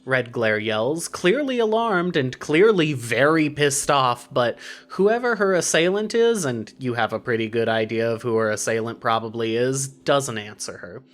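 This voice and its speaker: A man narrating scripted fiction in a measured, wry manner. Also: medium pitch, lively intonation.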